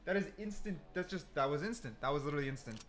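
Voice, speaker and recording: A man's voice: fairly high pitch, animated, fast talker, a bit bright, a little hiss or hum. A man giving casual gaming commentary, thinking aloud and repeating himself for emphasis. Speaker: gaming content creator. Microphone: desk mic, sometimes turns away from it.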